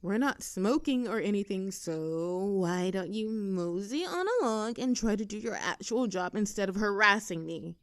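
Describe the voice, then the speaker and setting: A woman's voice: mid-range voice, expressive, moderate pace, few pauses, no echo, lightly acted. A young woman playing a rebellious teenage girl bully in an audio roleplay, with a sarcastic, teasing, brash manner. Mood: sarcastic defiance. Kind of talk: scripted roleplay audio drama.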